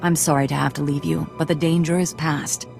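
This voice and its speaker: A composed female Jedi master in a sci-fi game, with a noticeably creaky voice. She speaks formally and gravely, like a mentor to young apprentices, over clear music. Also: low voice, steady tone, darkish tone. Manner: measured, formal. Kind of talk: video game voice acting.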